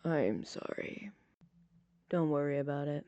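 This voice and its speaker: A woman voicing an injured, apologetic young man in a story, with a raspy, breathy, halting voice. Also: low-pitched, warm tone, dry recording, muffled recording, pitched below the performer's own voice. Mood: faint, apologetic. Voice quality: raspy, breathy, broken by coughs. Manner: halting, faint, hesitant. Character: injured, weak, apologetic young man, strangled and struggling to speak.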